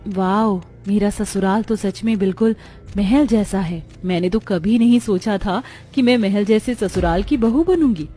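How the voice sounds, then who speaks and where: A woman's voice: medium pitch, measured pace, faint music behind, close to an everyday voice. A woman voicing a cheerful, easily delighted young bride in a cartoon story. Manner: excited, gushing.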